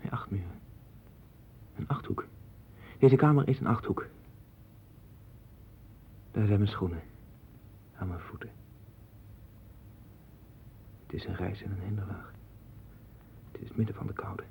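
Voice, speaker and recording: A man's voice: deep voice, moderate pace, creaky, long pauses, warm tone, whispered. An actor playing a restless, obsessive man in a 1960s Dutch radio play, with a Netherlands accent and a rambling, repetitive, insistent delivery. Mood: hushed, obsessive. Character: restless, obsessive man sinking into a strange inner world. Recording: tinny band-limited 1960s radio recording.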